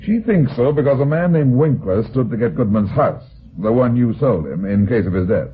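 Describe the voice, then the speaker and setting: An actor playing a tough, inquisitive private detective, dry and clipped, in an old-time radio drama. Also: male voice, medium pitch, animated, moderate pace, slightly rough. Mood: dry, probing. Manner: clipped, dry, pressing questions. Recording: noisy narrow-band vintage radio recording.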